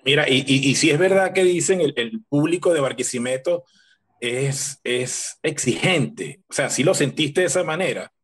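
A man hosting an interview podcast, asking questions in a relaxed, conversational way. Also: a little high, moderate pace, slightly rough. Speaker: podcast host.

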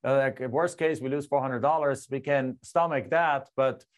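A man's voice: medium pitch, normal speed. German-accented man telling his story. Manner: animated, gestures a lot, frequent restarts. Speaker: guest, land investor. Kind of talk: casual interview storytelling. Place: home studio with screen and map backdrop. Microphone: lapel mic.

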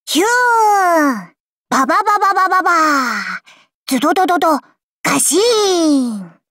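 A woman voicing a gentle, curious, dreamy young boy space traveler for an anime mobile game, with soft childlike wonder. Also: very high-pitched, sing-song, moderate pace, no room sound, clean studio sound, full character voice. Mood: excited play-acting. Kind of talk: Japanese anime mobile game character voice lines.

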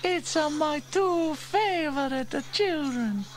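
A man voicing an excitable, warm-hearted Italian woman who runs a cafe in a children's story, with melodramatic exclamations and an Italian accent. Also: extremely high pitch, slow pace, a little hiss or hum, pitched above the performer's own voice, exaggerated voice. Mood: affectionate. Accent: put-on Italian.

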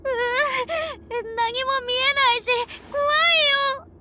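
A woman's voice: very high voice, very slow, full character voice. An actress playing a theatrical, easily frightened young girl with dramatic, boyish phrasing in an anime game. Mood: scared, whiny. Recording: loud game music behind.

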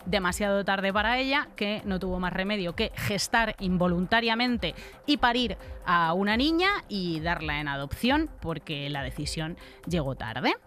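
A woman's voice: fairly low pitch, a bit bright. A woman of about forty with a Castilian accent from Spain, co-hosting a podcast and explaining news in a blunt, colloquial way into a close studio mic. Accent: Castilian Spanish from Spain. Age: around 40. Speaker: podcast co-host. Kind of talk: explanatory news commentary, conversational. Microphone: close broadcast mic on an arm.

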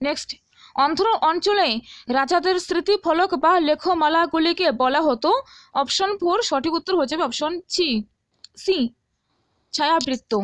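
A woman's voice: extremely high pitch, normal speed, long pauses, no room sound. A woman teaching as a tutor, reading out exam questions and answers and often checking that listeners follow.

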